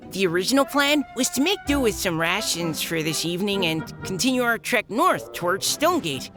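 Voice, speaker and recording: A woman's voice: mid-range voice, close to an everyday voice. Woman playing an earnest young knight recruit. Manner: earnest, formal.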